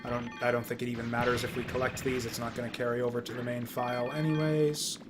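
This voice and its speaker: A man giving casual, rambling video game commentary, often halting and repeating words as he goes. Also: mid-range voice, flat delivery, over music. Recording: clear background noise.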